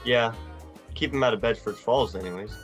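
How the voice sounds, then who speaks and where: A man's voice: medium pitch, moderate pace, soft background music, natural voice. A young man playing an earnest, frustrated small-town man in 1940s radio drama style, folksy and stammering. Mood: wry, evasive.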